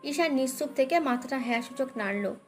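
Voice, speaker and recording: A woman's voice: sits high, animated, a little fast. A woman narrating a dramatic romance story as a scripted audio tale. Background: background music.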